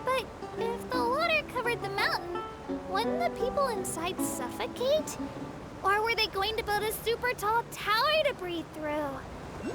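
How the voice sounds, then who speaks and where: A woman's voice: very high-pitched, very animated, measured pace, loud music behind the voice, heavily acted. A woman voicing a cheerful, childlike little creature who works as an eager tour guide in a video game. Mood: earnest, explaining. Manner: earnest, chirpy, childlike. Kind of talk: scripted video game dialogue.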